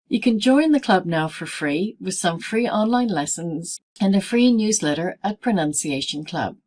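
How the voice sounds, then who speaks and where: A woman presenting a scripted pronunciation lesson as a teacher, speaking in deliberate, clearly segmented phrases. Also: fairly low pitch, a little mellow. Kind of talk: scripted instructional narration in easy English.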